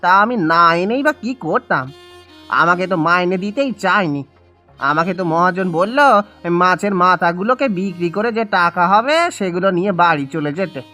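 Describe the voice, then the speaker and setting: A man's voice: sits high, lively intonation, moderate pace, pushed and bright, faint music behind. A man playing a poor village husband and father in a cartoon, weary, pleading and easily riled. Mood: defensive. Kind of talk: Bengali cartoon voice acting.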